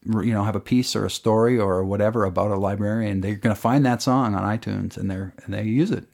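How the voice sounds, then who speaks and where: Low-voiced chatty songwriter man. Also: steady tone, brisk, creaky. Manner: chatty, explains at length with many fillers. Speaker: songwriter guest. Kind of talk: casual radio interview chat.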